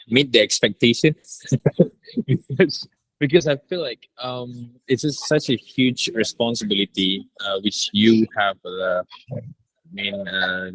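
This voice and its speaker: A man's voice: lowish voice, a bit bright, no echo, poor audio. A man, a director being interviewed, explaining his work in casual, unscripted talk.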